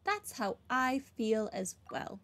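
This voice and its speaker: A woman's voice: a little high, sing-song, slow pace, a bit bright. A woman giving casual commentary as she plays a video game, with dry, wry asides. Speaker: gamer doing a playthrough.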